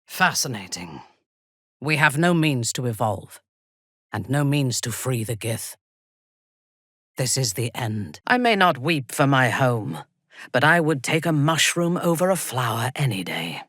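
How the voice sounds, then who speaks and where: An actress playing a haughty, ruthless female drow elf in a fantasy video game, speaking in a low, husky, measured and coldly menacing voice. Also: sing-song, a bit bright, no room sound, studio quality, exaggerated voice. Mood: disdainful. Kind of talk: scripted fantasy game voice acting.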